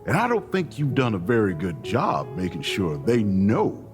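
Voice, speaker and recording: A man playing a folksy, warm-hearted mentor in an audio drama, coaxing and reassuring in a gently teasing way. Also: fairly low pitch, huge pitch swings, normal speed. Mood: reassuring, frank. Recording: music underneath.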